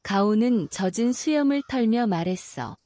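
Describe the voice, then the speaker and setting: Female storyteller for a bedtime story, mellow and soothing, melodic delivery, dry close sound. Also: a little high, expressive, dry recording. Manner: slow, soothing and gentle. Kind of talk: calm bedtime story narration.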